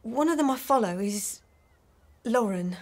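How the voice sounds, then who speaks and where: A woman's voice: fairly high pitch, animated, measured pace, darkish tone. An actress in a radio drama playing an anxious, exasperated, frank and persistent girlfriend. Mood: serious. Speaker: actor.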